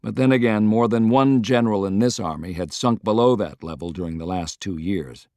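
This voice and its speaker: A man's voice: on the low side, brisk, darkish tone, no room sound, clean studio sound. A man reading a historical novel as scripted, dramatic audiobook narration.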